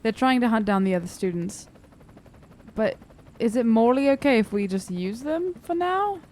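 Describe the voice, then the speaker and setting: Female tabletop player, slightly high register, conversational, tentative improvised delivery. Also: expressive, moderate pace, darkish tone. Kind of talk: casual improvised table talk.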